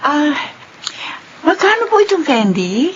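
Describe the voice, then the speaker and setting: A woman's voice: high-pitched, very animated, slow pace, exaggerated voice. An actress playing a kindly, concerned younger woman customer in a Filipino radio drama, polite and friendly. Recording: dull, slightly noisy radio recording.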